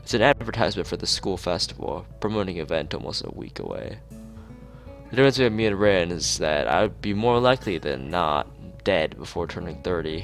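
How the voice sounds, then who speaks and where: A man reading a visual novel aloud in an expressive narrating style, as a let's-player. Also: deep voice. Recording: music behind the voice. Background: clear background music.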